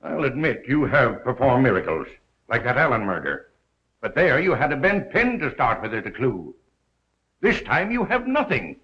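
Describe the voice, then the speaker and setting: An actor playing an exasperated, sarcastic newspaper boss in a 1930s film, brisk and scolding. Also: male voice, mid-range voice, very animated, sharp tone. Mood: insistent. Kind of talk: 1930s Hollywood film acting. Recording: dull 1930s film soundtrack.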